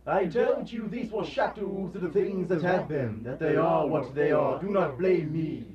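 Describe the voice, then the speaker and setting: A man's voice: a little high, animated, unhurried, a loud crowd. Solemn male spirit, played by a man, declamatory and stern, dull far-off live recording for radio drama. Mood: stern, commanding. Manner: declamatory, commanding, formal. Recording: dull, echoey, distant, loud audience noise.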